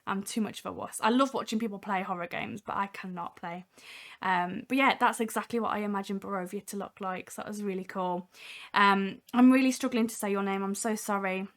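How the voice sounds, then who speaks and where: A young woman hosting a casual software demo livestream, talking from home with a voice made husky by a cold, chatty with many ums. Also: mid-range voice, steady tone, brisk. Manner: chatty, many ums, reads chat aloud. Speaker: livestream host.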